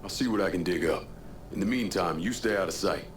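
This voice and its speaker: A terse, hard-edged hero speaking in short lines , voiced by a man. His voice is low and steady. He never says more than he needs to. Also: fairly quick, a little hiss or hum, lightly acted. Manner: curt, clipped, tough. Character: terse tough male protagonist, a war veteran.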